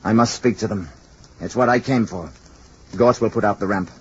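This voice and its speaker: An actor playing a grave, commanding alien emissary who speaks in a measured, formal way in a 1950s radio drama. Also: male voice, on the low side, natural voice. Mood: quiet, resolute. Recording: muffled, noisy vintage radio recording.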